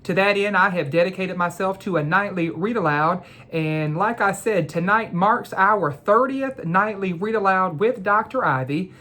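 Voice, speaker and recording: A woman educator presenting and reading a children's storybook aloud in a deliberate, measured way. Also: mid-range voice, a bit bright. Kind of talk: storybook read-aloud with introduction.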